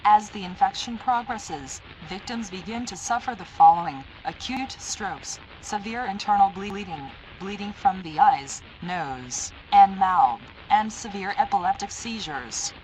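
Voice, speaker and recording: A synthetic female voice playing a stern, impersonal emergency alert announcer, reading formal, deliberate warnings in an analog horror broadcast. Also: mid-range voice, expressive, a little mellow, slight background noise, natural voice, rough recording.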